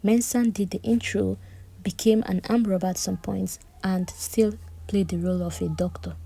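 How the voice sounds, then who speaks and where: A woman gives a chatty, animated recap of a reality-show drama, with personal asides. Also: mid-range voice, normal speed, creaky, husky. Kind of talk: casual recap commentary.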